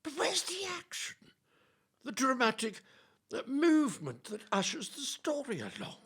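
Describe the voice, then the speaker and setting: A man's voice: extremely high pitch, wildly swooping pitch, measured pace, fairly bright tone, slightly rough, heavily acted. A man playing a pompous, haughty old-world playwright in a comic audio play, declamatory and condescending. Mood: indignant.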